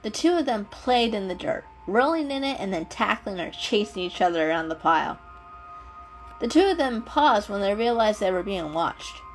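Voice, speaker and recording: A woman narrates a children's animal story as a dramatic reading. Her delivery is expressive, and music plays behind her voice. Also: medium pitch, moderate pace, rough recording. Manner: expressive, acts out the dialogue. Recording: music under the voice. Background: background music.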